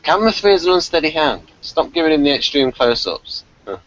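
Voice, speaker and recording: Muffled, animated man in casual review chat. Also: on the high side, a little fast. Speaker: podcast co-host reviewing a TV episode.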